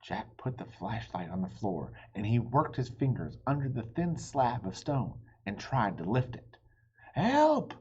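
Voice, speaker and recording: A man's voice: on the low side, animated, moderate pace, few pauses, darkish tone, slightly rough, lo-fi sound. A man reading a children's storybook aloud with dramatic, suspenseful expression. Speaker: storybook reader.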